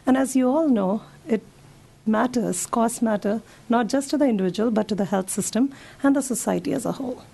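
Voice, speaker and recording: A woman doctor gives a health talk to older listeners. Her voice is hoarse and gravelly, with a fairly high pitch. Her tone is dark and mellow, and she chats her way through her slides. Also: fairly quick. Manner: conversational, talks the audience through slides. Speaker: physician.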